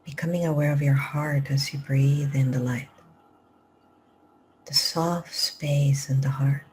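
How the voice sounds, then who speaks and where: Slow, low-voiced woman guiding meditation. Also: fairly even intonation, noisy background. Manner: slow soft-spoken instructions, drifting into whispers. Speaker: meditation teacher. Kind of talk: guided meditation. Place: live group session.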